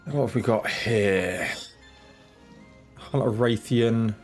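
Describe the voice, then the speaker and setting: Man, gaming host, stuffy nose from a cold, laid-back mumbling commentary, fairly low voice, desk mic. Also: slow, faint music behind. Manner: laid-back, muttering, self-deprecating. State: congested from a cold. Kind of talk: casual let's-play commentary. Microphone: desk microphone, off camera.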